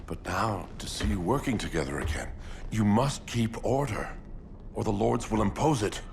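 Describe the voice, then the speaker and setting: An actor playing a weary, remorseful sorcerer in a video game, speaking in a solemn, subdued way. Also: male voice, fairly low pitch, normal speed, gravelly, slight background noise. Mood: grave warning. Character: weary, remorseful male sorcerer giving grave warnings. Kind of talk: dramatic video game acting.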